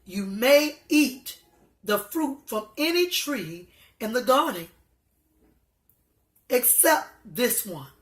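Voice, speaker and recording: A woman voicing a stern, commanding God in a children's story, speaking emphatically and deliberately. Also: on the high side, very animated. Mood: questioning, commanding. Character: God, stern, commanding, displeased male authority. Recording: echoey room.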